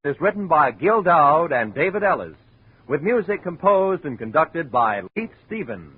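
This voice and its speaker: Animated, high-voiced vintage radio announcer man. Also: normal speed, husky. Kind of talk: 1950 radio show announcing, dramatic scripted delivery. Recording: muffled phone-like old radio recording.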